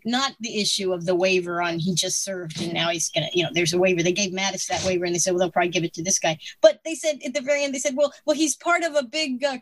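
This is a woman in her sixties talking politics in a relaxed conversation. She is opinionated and animated, and she laughs between points. Her voice sits in the middle range for a woman and sounds close and dry. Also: mid-range voice, dry recording. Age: 60s.

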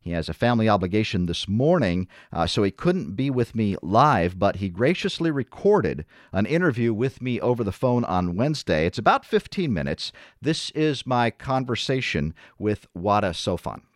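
A man hosting a radio interview program, speaking in a measured way with frequent hesitant fillers. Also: on the low side, very animated, dry recording, studio quality. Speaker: radio host. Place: radio studio.

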